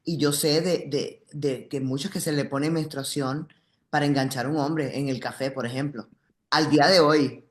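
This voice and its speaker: A woman's voice: deep voice, fairly even intonation, moderate pace. A woman from Puerto Rico with a Caribbean Spanish accent, hosting a conversational interview. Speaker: interview host.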